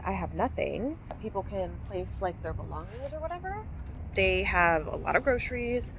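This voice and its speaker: A woman in her thirties chatting casually to her phone camera from inside a car. Her nose is stuffy and she talks in an animated, self-mocking way. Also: medium pitch, a little hiss or hum. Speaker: vlogger. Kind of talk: casual vlog chat.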